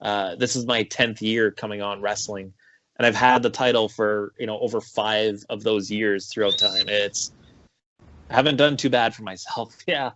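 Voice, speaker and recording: A man's voice: lowish voice, moderate pace, highs cut off. A man with a Canadian accent, a pro wrestler talking casually in an interview with lots of fillers. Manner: relaxed, chatty, with frequent fillers.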